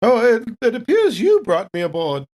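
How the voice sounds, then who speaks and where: A man's voice: very high voice, wildly swooping pitch, normal speed, a little mellow, heavily acted. A man voicing a genteel, scatterbrained lady android tourist in a tabletop game, polite and rambling. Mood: polite, surprised. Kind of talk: tabletop role-play acting.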